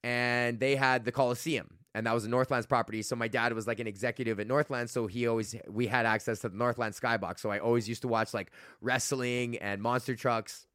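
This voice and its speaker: A man's voice: medium pitch, a bit bright, dry recording, clean studio sound. A young man from Canada, hosting his own podcast, rambling in a loose, profane rant packed with "like". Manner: loose and profane, heavy use of "like". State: recovering from losing his voice. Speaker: podcast host. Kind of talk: casual solo rant.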